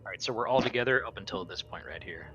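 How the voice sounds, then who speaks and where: A man's voice: on the low side, fast talker, bright, forceful tone, muffled recording, slight background noise. A man playing a tabletop roleplaying game, talking casually in a blunt, bossy, sweary way as he takes the captain's part. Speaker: player as the crew captain.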